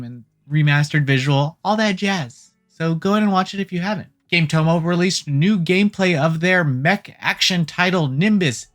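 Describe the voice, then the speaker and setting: A host giving a casual, opinionated video game news rundown with informal, joking asides. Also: mid-range voice. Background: background music.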